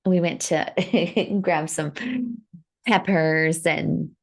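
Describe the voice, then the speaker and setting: Informal, affirming woman with a lowish voice. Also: fairly even intonation, very slow, vocal fry. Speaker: podcast co-host. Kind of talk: casual chat.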